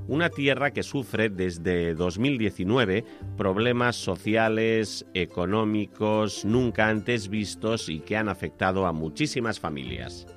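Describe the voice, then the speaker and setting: A man reading a scripted news report in a measured, formal manner. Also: low-pitched.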